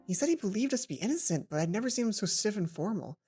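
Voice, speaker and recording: Woman let's player, medium-pitched, expressive story reader with casual asides, game music underneath. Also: very fast. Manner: expressive, acts out lines, then chatty asides. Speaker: let's play host. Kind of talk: reading visual novel aloud with casual commentary.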